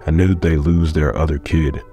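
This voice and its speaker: A man voicing a watchful, world-weary middle-aged man in a comic dub, terse, measured and dryly wry. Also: a bass voice, fairly even intonation, warm tone. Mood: reflective. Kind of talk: dramatic dialogue. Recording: music behind the voice.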